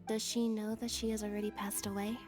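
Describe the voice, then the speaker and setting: A woman's voice: medium pitch, level tone, a little fast, music in the background, natural voice. A woman voicing a composed, matter-of-fact and insistent teenage girl in a video game. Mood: plain.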